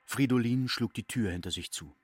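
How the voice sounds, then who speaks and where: A man giving measured, formal literary narration in a radio play. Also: medium pitch, over music, close to an everyday voice.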